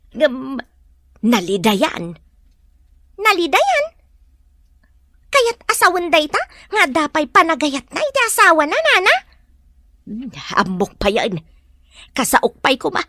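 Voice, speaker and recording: A woman's voice: sits high, big pitch swings, measured pace, pauses often, sharp tone, no room sound, clean studio sound, full character voice. A woman playing a nosy, gossipy village woman in an Ilocano audio drama, full of exclamations and questions. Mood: gossipy, concerned.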